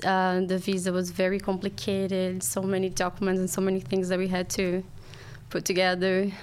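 Clear-voiced Brazilian woman chatting. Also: mid-range voice. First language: Portuguese. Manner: halting non-native English phrasing. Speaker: podcast guest. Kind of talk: casual chat.

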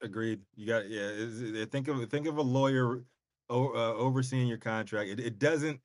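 A man's voice: mid-range voice, moderate pace, dry recording, clean studio sound. Gender: man. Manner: laid-back, rambling. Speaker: co-host. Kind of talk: casual podcast chat.

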